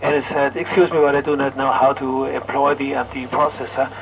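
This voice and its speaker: A middle-aged man with a German accent, chatting haltingly as an amateur radio operator over a shortwave transmission, searching for words. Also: medium pitch, level tone, vocal fry. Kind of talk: casual ham radio contact chat. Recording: muffled noisy ham radio signal.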